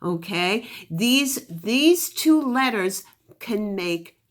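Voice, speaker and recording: A woman's voice: medium pitch, animated, drawn-out words. A woman teacher giving a phonics lesson to young children, encouraging them with praise and questions and sounding out words with exaggerated articulation.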